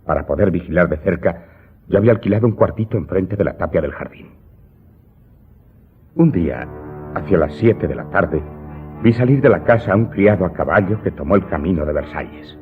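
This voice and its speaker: A man acting in a radio drama as a vengeful Corsican servant confessing to his master, telling his tale deliberately and dramatically with emphatic outbursts. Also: low voice, lively intonation. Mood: tense recounting. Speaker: voice actor in an audio drama. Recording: dull, muffled audio with loud music underneath.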